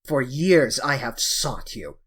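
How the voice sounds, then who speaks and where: A man voicing a grim, vengeful sailor who barks short, loud threats, with a husky voice. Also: mid-range voice, lively intonation, takes it slowly, full character voice. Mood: menacing. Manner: loud, threatening, clipped commands. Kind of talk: audiobook character voice.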